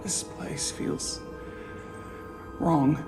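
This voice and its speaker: Hesitant, wary alien over music. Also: female voice, drawn-out words. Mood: uneasy. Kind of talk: video game voice acting. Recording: loud game music underneath. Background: game soundtrack.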